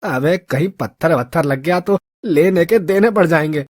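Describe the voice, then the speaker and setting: A man playing a mocking, cowardly street prankster in a story, excitable and full of slang. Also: on the high side, moderate pace, no echo, clean studio sound, lightly acted. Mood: alarmed. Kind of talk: Hindi audio story character acting.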